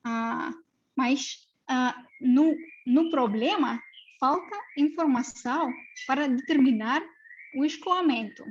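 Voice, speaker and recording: A woman's voice: high-pitched, takes it slowly, dull audio, a little hiss or hum. A young woman from Russia, speaking with a Russian accent, gives a hesitant seminar lecture full of fillers. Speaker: postdoctoral researcher.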